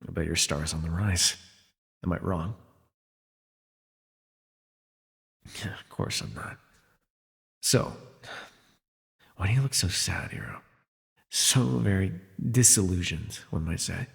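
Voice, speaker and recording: A man playing a jaded, wry imprisoned telepath supervillain in an ASMR audio roleplay, speaking in a sardonic, weary, gravelly way with sighs. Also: sits low, a little slow, pauses often, big-room sound. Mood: sardonic, probing.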